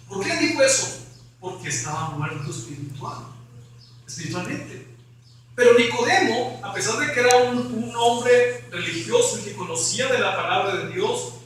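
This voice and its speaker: Male pastor, emphatic preaching, bright ringing voice, heard from afar in an echoing church hall. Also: high voice, far from the mic, slight background noise. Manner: preaching, rising to emphatic questions. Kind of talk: evangelical sermon.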